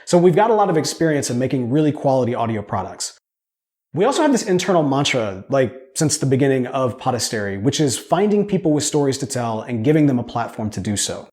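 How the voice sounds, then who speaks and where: A middle-aged man telling a personal story in a conversational, earnest way as he pitches his crowdfunding project. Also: big pitch swings, quick pace, a little mellow. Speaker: podcast producer and storyteller pitching his audio drama.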